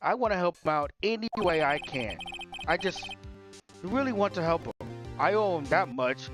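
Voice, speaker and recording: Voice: medium pitch, lively intonation, normal speed, over music, lightly acted. A game streamer voicing an earnest, nervous young male rookie lawyer from a visual novel. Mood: earnest, hesitant. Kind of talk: visual novel game dialogue read aloud.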